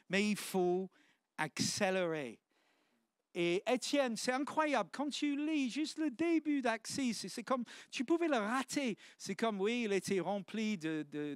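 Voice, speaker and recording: A man's voice: high-pitched, clear, resonant tone, no room sound, studio quality. A man, preaching a sermon in church with earnest, exclamatory restarts. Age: 50s.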